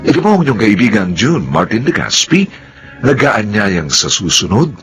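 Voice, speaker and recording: A man's voice: fairly low pitch, very animated, normal speed, a bit bright, faint music behind. A man reading a scripted radio drama announcement in a formal, dramatic manner.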